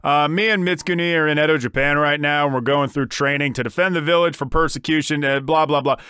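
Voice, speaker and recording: A man's voice: fairly high pitch, steady tone, fast talker, sharp tone, smooth, no room sound, clean studio sound. A man voicing a cocky, dim, teasing teenage anime tough guy in a comedic parody dub, rambling in slang with goofy bravado.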